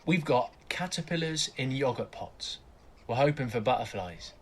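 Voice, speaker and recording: An actor playing a weary, awkward young father who is quietly grieving, in naturalistic audio drama. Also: medium pitch, animated, normal speed, lightly acted. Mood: guarded, wistful.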